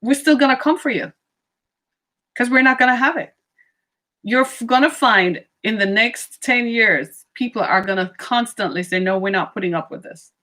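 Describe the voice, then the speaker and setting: A middle-aged woman giving an impassioned monologue, full of rhetorical questions and sudden swings in pitch and pace. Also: moderate pace, sharp tone. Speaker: speaker on racism.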